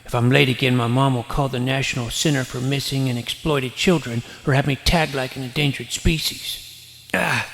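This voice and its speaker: An actor playing a nerdy middle-school boy who narrates his own superhero exploits in a dry, self-important, deadpan way. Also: medium pitch, normal speed, vocal fry, dry recording. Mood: earnest. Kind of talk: scripted audio drama narration.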